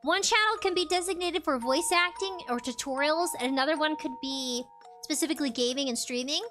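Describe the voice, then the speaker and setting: Giggly girl streamer w/ a really high bright voice chatting to chat, faint game music behind. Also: brisk. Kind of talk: casual live-stream chat while gaming.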